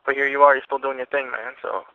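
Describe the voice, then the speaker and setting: A man's voice: mid-range voice, little pitch movement, quick pace, dry recording. A man conducting a casual interview over the phone, informal and laid-back in his wording. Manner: laid-back, informal, frequent short acknowledgements. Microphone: telephone. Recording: muffled narrow phone line.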